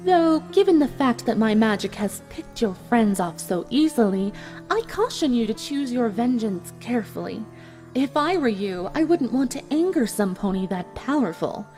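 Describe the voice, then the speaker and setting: A woman's voice: fairly high pitch, very animated, moderate pace, a little mellow, soft background music. A woman voicing a cruel, powerful pony sorceress in a fan fiction audio drama, taunting with theatrical mock politeness. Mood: menacing, gloating.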